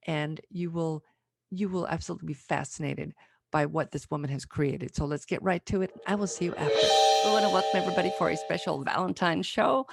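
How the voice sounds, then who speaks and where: A woman's voice: lowish voice, creaky, no echo, studio quality. A woman hosting a podcast in a casual, chatty way. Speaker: podcast host.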